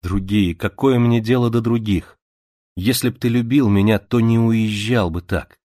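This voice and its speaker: A man's voice: lowish voice, moderate pace, close to an everyday voice. A man reading aloud who voices a passionate, jealous young woman, pleading with rising, urgent cries.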